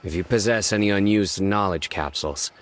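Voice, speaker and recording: An actor playing a stern investigator in a video game, measured, grave and commanding. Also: male voice, sits low, close to an everyday voice. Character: stern, deep-voiced male investigator and judge.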